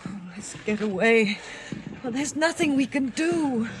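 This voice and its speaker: A woman's voice: high voice, expressive, measured pace. An actress playing an anxious, tender-hearted young British woman surviving a catastrophe, genteel and earnest, in a vintage radio drama style. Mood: frightened. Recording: faintly noisy old radio recording.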